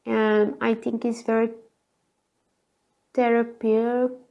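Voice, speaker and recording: A woman with a thin, girly voice, hoarse from a cold, chatting alone on camera and often searching for words. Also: on the high side, little pitch movement, slow, darkish tone, lo-fi sound. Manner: hesitant, searching for words, frequent fillers. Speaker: vlogger. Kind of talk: casual solo chat.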